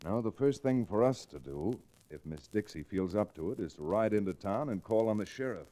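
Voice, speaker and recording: A level-headed frontier lawyer, played by a man, with a deep, dark-toned voice. He speaks with dry, reasoning firmness in classic radio western style. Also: animated, a little fast, close to an everyday voice. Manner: wry, reasoning. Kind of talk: old-time radio western acting and narration.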